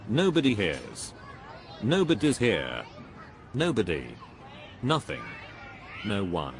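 A man performs a joyless, pompous cartoon spiritual teacher who talks down to everyone. His delivery is sententious and dismissive, with a lively swing in pitch. Faint noise runs behind the voice. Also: slow pace, a crowd in the background, full character voice. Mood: dismissive, pedantic. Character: smug, joyless, preachy male spiritual know-it-all. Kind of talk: scripted animated dialogue.

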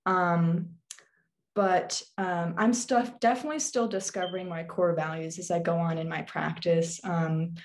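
Teenage girl, thoughtful, slightly low voice. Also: steady tone, unhurried. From England, also Turkey, Azerbaijan and Alaska. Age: teens. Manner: thoughtful, hesitant with many ums. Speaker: guest, witchcraft YouTuber. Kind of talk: casual interview answers. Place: small bedroom. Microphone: video call webcam.

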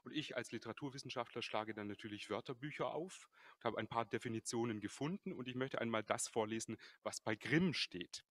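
A man in his thirties moderating a café panel, measured and formal, holding a handheld mic. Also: medium pitch, a bit bright. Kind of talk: moderating a panel, reading quotes. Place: large café hall, indoors.

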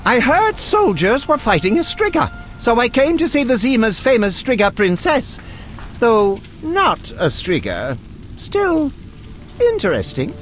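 A man's voice: very high voice, huge pitch swings, measured pace, fairly bright tone, soft background music, full character voice. A man acting an eccentric, morbidly curious alchemist scholar in a video game, erudite and precise in his speech. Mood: intrigued. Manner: erudite, precise, relishing grisly detail.

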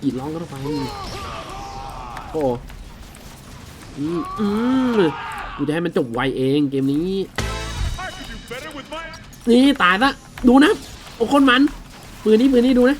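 High-pitched man commentating over a game. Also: sing-song, takes it slowly, pauses often, soft background music. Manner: exclamatory, crude slang, chatty to viewers. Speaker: gaming video host. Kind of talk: casual game commentary with loosely dubbed dialogue.

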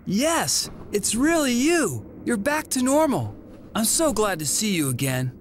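A woman's voice: a little high, sing-song, a little slow, a little hiss or hum. A woman voicing a plucky, determined boy hero in a video game, earnest and emphatic. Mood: concerned, relieved. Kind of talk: cartoonish video game voice acting.

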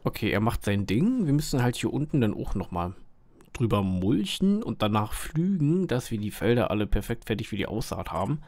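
A man's voice: on the low side, sing-song, fairly quick, a little mellow. Man, Berlin dialect, chatty gameplay commentary, rambling fillers, faint background noise. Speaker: gaming channel host.

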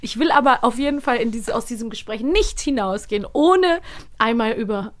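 A woman's voice: fairly high pitch, lively intonation, fairly quick. A young adult woman co-hosting a casual studio interview, enthusiastic and a little rambling. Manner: enthusiastic, rambling, many fillers. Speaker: podcast co-host.

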